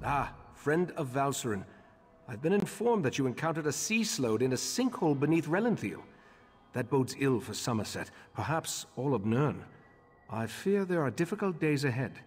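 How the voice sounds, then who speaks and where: A man playing a grave, scholarly leader of a mage order in a video game, speaking in a measured, formal way. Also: mid-range voice, very animated, husky, faint music behind. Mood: grave, pondering. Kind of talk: scripted video game character dialogue. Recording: long echoing hall reverb.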